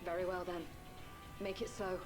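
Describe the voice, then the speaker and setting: A woman's voice: on the low side, flat delivery, normal speed, close to an everyday voice. A woman playing a composed, commanding leader in a fantasy game, speaking in a formal, archaic manner. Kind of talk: scripted fantasy game acting. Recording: game audio under loud music.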